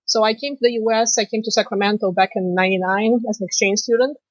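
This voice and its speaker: A woman from Moldova with a Russian accent, native Romanian speaker, telling her story as an interview guest with lively storytelling. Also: mid-range voice, quick pace, no room sound, rough recording. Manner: lively storytelling, laughs easily. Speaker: guest, financial planner. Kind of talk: casual interview chat.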